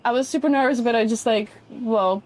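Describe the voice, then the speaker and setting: A woman's voice: fairly high pitch, a little fast, darkish tone, low-quality recording. A woman in her twenties from the Netherlands with a Dutch accent, chatting informally as an interview guest and peppering her talk with "like". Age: 20s. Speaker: podcast guest, fashion model. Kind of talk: casual interview chat.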